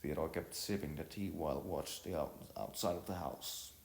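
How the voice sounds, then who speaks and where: Low-voiced man narrating in a roomy echo. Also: creaky. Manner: halting, word-repeating delivery. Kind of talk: reading an audiovisual novel aloud. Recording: roomy echo with music behind. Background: music.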